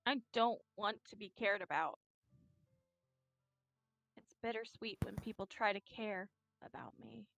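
A woman voicing a depressed, self-effacing teenage schoolgirl in a slow, sorrowful staged reading of a visual novel. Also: mid-range voice, creaky, fairly bright tone, poor audio. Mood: hurt, pleading.

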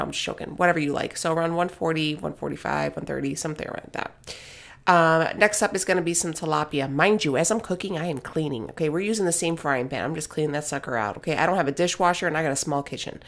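A woman in her thirties narrating her home cooking in a casual, blunt, joking way, often checking in with "okay?". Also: low-pitched. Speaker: vlogger.

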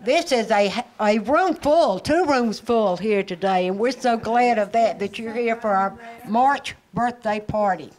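A woman's voice: on the high side, unhurried, hardly any pauses, darkish tone. An older woman in her seventies informally hosting a gathering on a handheld microphone. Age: older, 70s. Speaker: party host. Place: indoor community meeting room. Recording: crowd noise in room.

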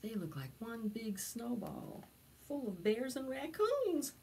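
A woman's voice: on the high side, big pitch swings, slow pace, a little mellow, a little hiss or hum. A middle-aged woman reading a picture book aloud to preschoolers, expressively, stretching out words for young listeners. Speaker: library storytime reader. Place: indoors, in front of a decorated backdrop.

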